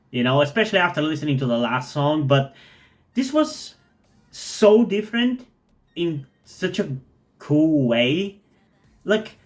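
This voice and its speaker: A non-native English-speaking man giving a thoughtful, rambling reaction to a song, full of fillers and restarts. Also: fairly high pitch. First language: not English. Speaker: music reactor. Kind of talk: casual music reaction commentary.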